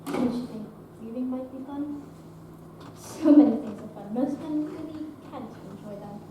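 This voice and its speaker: A young actress playing a kind, refined lady visitor who speaks in a soft, coaxing way on a stage. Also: high-pitched, normal speed, natural voice. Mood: gentle, coaxing. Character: kind, refined woman visitor, gentle and cheerful. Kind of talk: stage play acting. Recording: echoey stage from far off, noisy.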